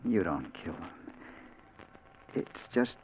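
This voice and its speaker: An actor playing a desperate, haunted newspaperman in a 1940s radio drama, emotional and halting in his delivery. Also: deep voice, expressive. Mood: weak, resigned. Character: desperate shot newspaperman facing death, excitable, haunted. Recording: muffled, faintly noisy 1940s radio recording.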